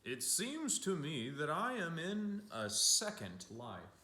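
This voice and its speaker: A man's voice: a little high, wildly swooping pitch, slow pace, bright, forceful tone, voice pushed up, full character voice. A man voicing a contented elderly male artist from a picture book, savoring his words with emphasis. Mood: contented wonder. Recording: roomy, echoing room sound.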